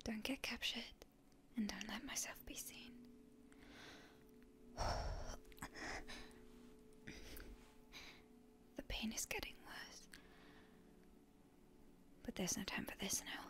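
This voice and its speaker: A woman playing a proud, stubborn young heroine in an ASMR roleplay, in a breathy, often whispery voice with indignant bluster. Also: fairly high pitch, pushed and bright. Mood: nervous self-coaching. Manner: indignant, halting, tsundere bluster. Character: proud, stubborn wounded young heroine, defiant toward her enemy.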